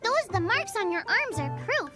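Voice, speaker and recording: A tiny, childlike fox spirit guide from a game, extremely high-pitched and animated. The creature is perky and helpful with quirky phrasing, over loud music. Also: female voice, moderate pace. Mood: eager, explaining. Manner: childlike, drops stray "the" into phrases. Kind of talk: animated game cutscene acting.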